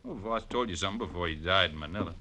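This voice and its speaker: An actor playing a menacing, gloating crook who bullies and threatens in slangy 1940s radio crime drama style. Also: male voice, sits low, rapid-fire, bright, forceful tone. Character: menacing male killer and crook, sneering, bullying, gloating. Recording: noisy, clicky vintage radio recording.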